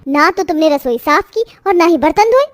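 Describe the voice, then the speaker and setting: A woman's voice: very high-pitched, expressive, normal speed, no room sound. A woman playing an irritable, complaining young daughter-in-law in a moral-story cartoon, with a scolding, exasperated manner. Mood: angry, fed up.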